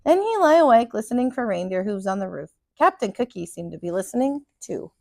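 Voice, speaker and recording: A woman reading a storybook aloud for children in an animated, dramatic teacher's style. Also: mid-range voice, fairly quick, vocal fry, no room sound, studio quality.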